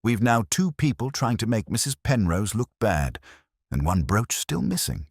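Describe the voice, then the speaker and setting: A man playing a calm, shrewd police inspector in a mystery story, gentle and measured in manner. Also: lowish voice, husky, no echo, clean studio sound, pitched above the performer's own voice, heavily acted. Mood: musing.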